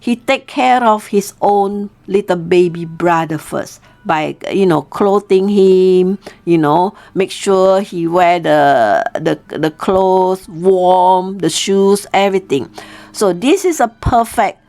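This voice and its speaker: Slow-paced woman teacher. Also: lowish voice, a little mellow. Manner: didactic, often checks with "okay?". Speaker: storytelling teacher and host. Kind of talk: informal storytelling lesson for learners.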